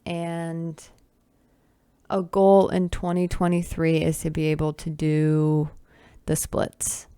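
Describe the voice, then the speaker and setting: Chatty woman in her twenties, smooth. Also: deep voice, monotone, takes it slowly, dark tone, dry recording, clean studio sound. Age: 20s. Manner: chatty and self-teasing, with sighs and asides. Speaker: podcast host. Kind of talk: casual solo podcast chat. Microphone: camera with close mic.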